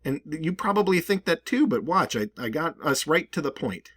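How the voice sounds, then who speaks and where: A man's voice: on the high side, sing-song, normal speed, fairly bright tone, breathy edge, no echo. Casual rambling man, dry close recording. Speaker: video host. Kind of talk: casual commentary.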